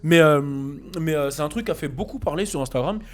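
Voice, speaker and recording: A French man with a French accent, hosting a casual chat about rap, relaxed and full of fillers and restarts. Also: a little high, fast talker. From France. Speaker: podcast host.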